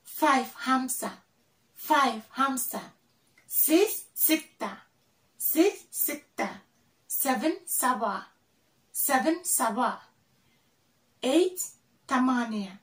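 Young woman from Ghana, vlogger, slow careful delivery, roomy echo, voice set back from the mic. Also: high voice, expressive, a bit bright, recorded from a distance. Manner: slow and repetitive, drilling each word several times. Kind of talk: casual teaching to camera. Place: small room indoors, plain wall. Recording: echoey room, voice off-mic.

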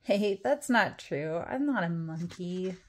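Slow, playful woman reading a storybook. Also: lowish voice, lively intonation. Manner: playful, exaggerated mock dismay, dramatic drawn-out words and whispers. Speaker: storytime reader. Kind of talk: animated children's picture book read-aloud.

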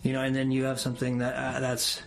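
A man's voice: mid-range voice, monotone, fast talker, creaky. A male musician guest in a casual radio interview, explaining things in a rambling way. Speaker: musician guest, bass player and songwriter. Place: radio studio.

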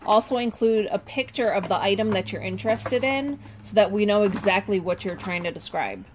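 A woman's voice: mid-range voice, animated, fairly quick. Matter-of-fact woman co-hosting, noisy background. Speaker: vlog co-host. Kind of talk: casual unboxing chat.

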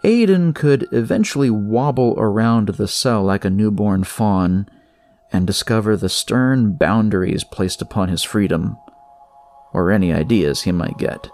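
A man narrating scripted horror fiction in a measured way, with dramatic pauses. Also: on the low side, darkish tone.

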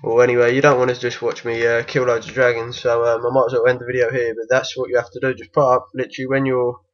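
A man with a croaky voice from being unwell gives a casual, hesitant game tutorial voice-over. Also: medium pitch, completely flat delivery, quick pace, dull audio. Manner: hesitant, many ums and restarts. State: croaky from illness. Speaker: game guide maker.

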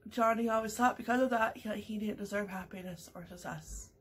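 A woman's voice: medium pitch, fairly quick, husky. A woman in her thirties from Canada, chatting casually to her webcam over morning coffee at home, rambling with lots of fillers and restarts. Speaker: vlogger. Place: small home room indoors.

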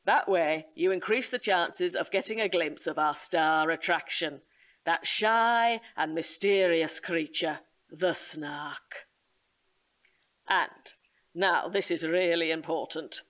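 An actress playing an earnest, solemn nature reserve guide, speaking in a measured, emphatic, formal way in an audio drama. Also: female voice, on the low side, a bit bright. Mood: earnest, cautioning. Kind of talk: audio comedy drama acting.

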